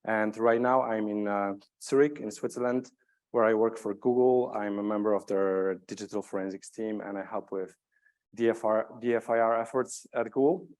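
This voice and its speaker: A man's voice: fairly low pitch, fairly even intonation, moderate pace, darkish tone. A man from Poland, not a native English speaker, giving a technical conference talk with many fillers and repeated words. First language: Polish.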